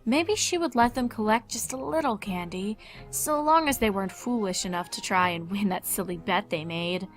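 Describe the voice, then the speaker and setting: A woman's voice: mid-range voice, normal speed, faint music behind. A woman reading fan fiction aloud as scripted story narration.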